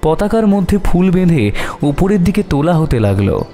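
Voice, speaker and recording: A man's voice: a little high, very animated, moderate pace, mellow. A man narrating a scripted horror audio story in a dramatic storytelling style. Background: music.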